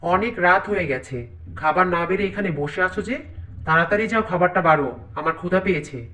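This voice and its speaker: A man's voice: high-pitched, lively intonation, brisk, recorded from a distance, noisy background. A man voicing a rude, greedy young husband in a cartoon, curt, scornful and demanding. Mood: irritated. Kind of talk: cartoon voice acting.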